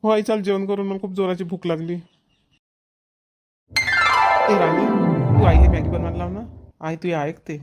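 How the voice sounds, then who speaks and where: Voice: medium pitch, steady tone, normal speed, long pauses, a little mellow, soft background music, lightly acted. A performer playing an argumentative boy in a cartoon village family comedy, with a Vidarbha Marathi accent. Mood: pleading. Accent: Maharashtrian, Vidarbha Marathi. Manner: complaining, argumentative.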